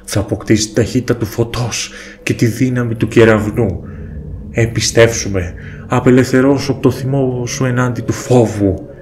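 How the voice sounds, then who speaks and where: A man voicing an ancient wise sage in a cartoon, giving slow, solemn counsel. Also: mid-range voice, steady tone, a little mellow, a little hiss or hum, lightly acted. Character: ancient wise sage spirit, calm and grave.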